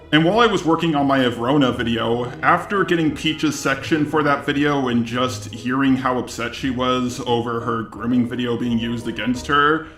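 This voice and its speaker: A man's voice: mid-range voice, fairly bright tone. Robot-sounding guy doing argumentative response commentary, echoey, music underneath. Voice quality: synthetic robotic voice. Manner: argumentative, rebutting. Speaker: commentary video host.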